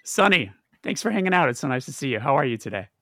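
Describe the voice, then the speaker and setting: A man's voice: medium pitch, big pitch swings, quick pace, over music. A man hosting a casual podcast, talking loosely with lots of fillers and restarts. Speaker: podcast host.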